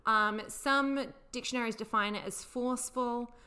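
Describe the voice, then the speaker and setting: A woman with a fairly high, bright and forward voice co-hosts a casual podcast. She explains slang in a chatty way and playfully corrects her partner. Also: steady tone, normal speed, smooth. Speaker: podcast co-host.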